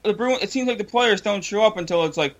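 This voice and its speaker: High-pitched young male host, frustrated, repeats himself, casual sports banter. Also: fast talker. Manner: frustrated, repetitive, incredulous. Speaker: podcast host.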